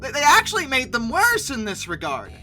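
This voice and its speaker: A woman's voice: high-pitched, wildly swooping pitch, brisk, bright, forceful tone, faint music behind. A woman host giving casual, opinionated list commentary in an emphatic way, with theatrically exasperated asides. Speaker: host of a paleontology video channel.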